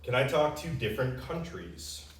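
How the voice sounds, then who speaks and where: A man's voice: medium pitch, very animated, moderate pace, a little hiss or hum. An American man who represents a company, explaining answers to customer questions in a plain, conversational way. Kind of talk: casual explanatory Q&A. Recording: roomy echo, distant microphone.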